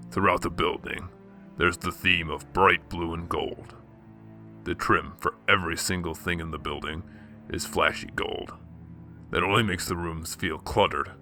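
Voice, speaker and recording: A man's voice: deep voice, gravelly, pushed and bright. A man reading scripted scene narration for a radio drama.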